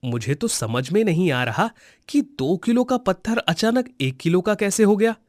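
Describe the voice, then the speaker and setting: Man playing a devout village butcher, slightly high voice. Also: animated, normal speed, dry recording, studio quality. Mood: puzzled, then accepting. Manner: earnest, pious. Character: devout, humble male village butcher, gentle and wondering. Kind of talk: cartoon moral-story voice acting.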